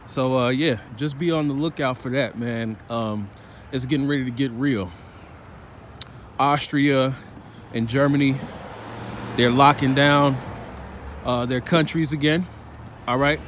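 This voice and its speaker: A man records himself on a phone as he walks along a city street. He talks in a preachy, slangy way, pausing again and again to check in with "all right?" and "man". Faint traffic and street sounds sit behind him. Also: medium pitch. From Chicago, United States. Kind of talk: walking vlog commentary. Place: city sidewalk outdoors, walking. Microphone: phone held while walking. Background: faint traffic and street ambience.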